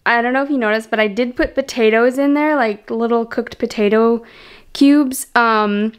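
A woman's voice: on the high side, normal speed, clean tone. A young woman from Canada chatting casually in a cooking vlog, upbeat with little laughs and ums. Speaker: lifestyle vlogger. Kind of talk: casual kitchen voice-over. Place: home kitchen. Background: soft background music.

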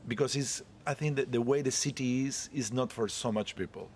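A man's voice: mid-range voice, a little fast, darkish tone, a little hiss or hum. A man in his forties from Peru, talking casually with a rambling manner in a street interview in a leafy courtyard outdoors. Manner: chatty, rambling, non-native English. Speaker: interviewee, cruise ship photographer.

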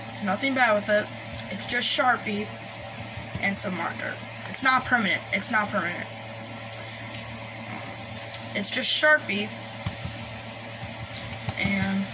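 A woman giving a casual, hesitant, rambling show-and-tell in an informal way, recorded on a handheld phone. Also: medium pitch. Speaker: collector presenting gadgets. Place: small indoor room at a desk. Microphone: handheld phone camera. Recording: noisy handheld recording, background hiss. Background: noise and radio devices.

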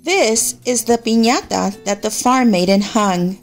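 A woman narrating a children's story in an expressive, measured way, as a read-aloud storyteller. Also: a little high, faint music behind.

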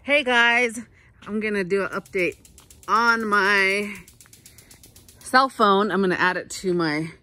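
A woman in her thirties chatting casually as she cooks at home and films on her phone, filling pauses with fillers and little apologies. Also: medium pitch, sharp tone, a little hiss or hum. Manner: chatty, self-narrating, filler-heavy, apologetic. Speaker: home cooking vlogger. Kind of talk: casual cook-along chat. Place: home kitchen, indoors.

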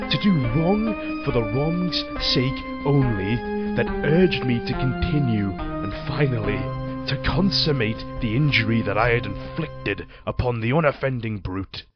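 Loud music sits behind a muffled gothic radio play. A male actor plays a drunken, tormented husband, cruel and confessional. His delivery is theatrical and halting, with highly animated swings in pitch. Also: lightly acted. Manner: theatrical, halting, with dramatic pauses. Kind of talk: gothic audio drama monologue, archaic literary speech. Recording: dull lo-fi audio, loud background music.

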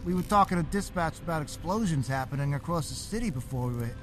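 Injured male conductor, played by a man for audio drama: dazed, halting, higher register, scored underneath. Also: lively intonation, over music, lightly acted. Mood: shaken. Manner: stammering, hesitant.

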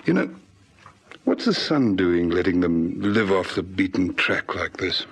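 Low, gravelly policeman. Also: very animated, measured pace, heavily acted. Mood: uneasy. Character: uneasy, questioning police officer on stakeout. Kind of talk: vintage radio drama acting. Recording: old radio recording, faint noise.

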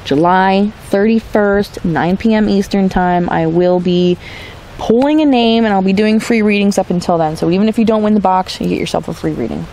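Gravelly, mellow-voiced woman tarot reader. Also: mid-range voice, a little hiss or hum, low-quality recording. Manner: reassuring, drawn-out, repeats "okay?". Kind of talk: tarot reading video. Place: outdoors in a forest.

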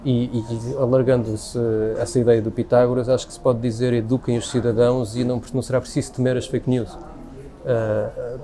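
A man's voice: medium pitch, warm tone. A man in his forties with a European Portuguese accent, speaking as an interview guest in a busy café and thinking aloud with frequent restarts. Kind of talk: casual conversational interview. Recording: café crowd noise behind. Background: café crowd chatter.

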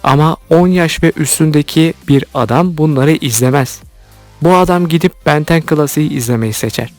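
Higher-pitched man narrating commentary over music. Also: moderate pace, a little mellow, no echo. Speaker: YouTube host commenting on cartoons. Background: background music.

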